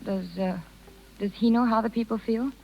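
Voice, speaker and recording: A woman's voice: fairly high pitch, fairly even intonation, moderate pace. A woman playing a tender, self-sacrificing young circus rider with a hesitant, pleading delivery in a 1930s radio drama. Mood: distressed. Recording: muffled 1930s radio broadcast.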